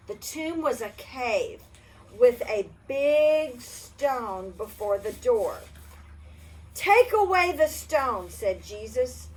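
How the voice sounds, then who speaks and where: An older woman reading a Bible story to children in a gentle storybook manner, stopping to ask the kids questions. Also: fairly high pitch, rough recording.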